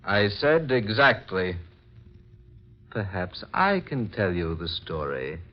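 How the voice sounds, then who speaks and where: Slow, suave, menacing mystery gentleman. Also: on the low side, sing-song. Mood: brooding, reflective. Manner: measured, formal, suave. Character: mysterious masked gentleman, icily polite, quietly menacing wronged husband. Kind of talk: 1940s radio horror acting. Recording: muffled 1940s radio recording.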